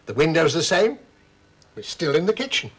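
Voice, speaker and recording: A man's voice: a little high, sing-song, normal speed, husky. An older actor playing a kindly grandfather who patiently explains old times to children, in a children's TV drama. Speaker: grandfather who does magic. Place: kitchen set, indoors.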